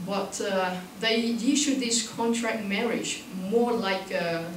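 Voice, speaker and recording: A woman speaks reflectively at a panel discussion in halting, non-native phrasing. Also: mid-range voice. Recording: echoey room, distant mic, faint noise.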